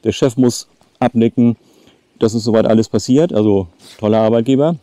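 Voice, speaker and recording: A man's voice: mid-range voice, fairly quick, dark tone, dry recording. A man in his late fifties chatting casually to the camera outdoors as a travel vlogger. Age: late 50s. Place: outdoors by a lake.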